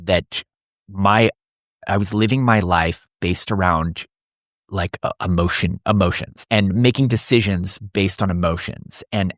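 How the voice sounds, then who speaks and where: A man's voice: deep voice, a little slow, fairly bright tone, no echo, studio quality. A man in his forties, a coach speaking as a podcast guest, earnest and thinking aloud with frequent restarts. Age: 40s. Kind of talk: casual podcast conversation.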